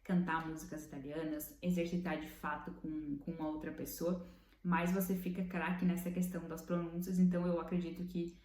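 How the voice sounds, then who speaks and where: A Brazilian woman with a Brazilian Portuguese accent, casually explaining language points, thinking aloud with fillers and slowing down to spell out examples. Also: on the low side, little pitch movement, darkish tone. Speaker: language learning vlogger. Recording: roomy room with faint background noise.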